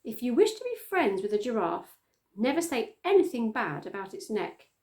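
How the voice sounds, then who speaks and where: A middle-aged woman reading a children's story aloud with expressive storytelling delivery. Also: on the high side, normal speed. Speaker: storytime reader. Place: small plain room indoors, on a sofa.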